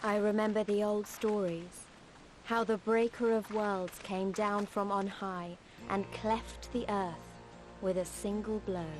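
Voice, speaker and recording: A woman voicing an earnest, determined young resistance fighter in a dramatic video game style, speaking in a measured, heartfelt way. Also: mid-range voice, steady tone, a little mellow, close to an everyday voice. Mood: solemn, reflective. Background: orchestral music.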